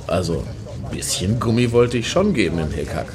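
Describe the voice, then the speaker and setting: A man acting in a satirical radio play as a brash, opinionated middle-aged club-goer with slangy, sarcastic talk and a gravelly voice. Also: on the low side, expressive, brisk, crowd noise, lightly acted. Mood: impatient, sardonic. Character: brash, randy, opinionated man queuing outside a Berlin club.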